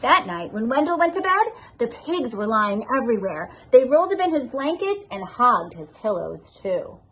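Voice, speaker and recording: A woman's voice: fairly high pitch, very animated, normal speed, fairly bright tone, slight background noise. A woman reading a children's storybook aloud expressively, as a school assistant principal addressing her pupils.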